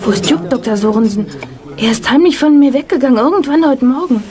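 Trusting, tender young woman in wartime radio drama, voice on the high side. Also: animated, fast, mellow, faint music behind. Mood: anxious, sad. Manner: tender, earnest. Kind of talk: German radio play acting.

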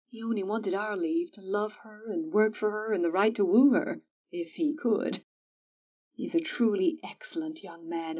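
A woman playing a calm, wise mother of four daughters, who speaks in a soothing, measured way. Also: on the low side, breathy edge, far from the mic, highs cut off.

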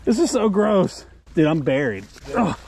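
A man chatting casually and excitably in slang as he hosts a fishing vlog outdoors on an action camera. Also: high voice, a little slow, vocal fry. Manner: excitable, slangy, says dude and man often. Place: outdoors at a wooded creek. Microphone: action camera worn or held by him. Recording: outdoor recording with background noise.

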